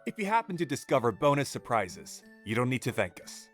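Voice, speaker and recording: A man playing a playful, smug, excitable young henchman in a game story. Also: medium pitch, brisk, natural voice. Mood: playfully smug. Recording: music behind.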